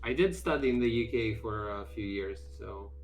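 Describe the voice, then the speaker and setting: A man's voice: fairly low pitch, normal speed, faint music behind. A man from Greece with a Greek accent, a game developer chatting casually on a live stream, talking with frequent fillers and restarts.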